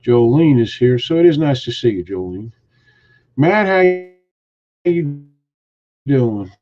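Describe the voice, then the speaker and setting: A man's voice: mid-range voice, animated, slow pace, dark tone, lo-fi sound. A man hosting a casual live stream, greeting viewers and teasing his co-hosts in a joking, rambling way. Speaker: host.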